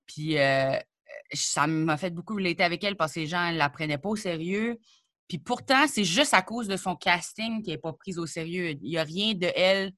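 A young Acadian woman talks in a relaxed, chatty way, jumping into quick asides. Her voice is bright and forward. It sounds dry and close, with almost no room echo. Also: on the low side. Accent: Acadian French. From New Brunswick, Canada. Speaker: comedian and rapper, guest. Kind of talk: casual interview chat.

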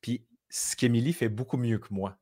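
A man's voice: mid-range voice, moderate pace. A man with a Quebec French accent, a guest telling stories in casual conversation and acting out his own thoughts. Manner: animated storytelling, acts out quoted inner voices.

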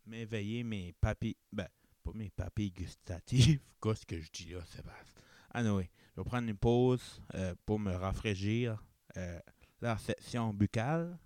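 A man's voice: on the low side, a little slow, mellow, no room sound, studio quality. A young man with an Acadian Chiac accent hosting a casual solo podcast, rambling and correcting himself, slipping English words into his French. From New Brunswick, Canada. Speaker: podcast host.

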